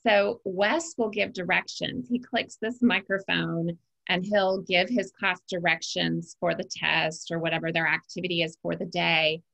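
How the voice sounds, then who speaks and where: A woman's voice: on the low side, fairly even intonation, measured pace, gravelly, sharp tone, low-quality recording. A woman co-presenting a webinar over a video call, chatting enthusiastically. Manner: enthusiastic, conversational. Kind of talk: casual webinar chat.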